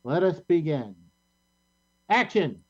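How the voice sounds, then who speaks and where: High-pitched man hosting a show. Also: very animated, takes it slowly. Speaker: show host and director. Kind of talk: casual live show hosting. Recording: dull, lo-fi call audio.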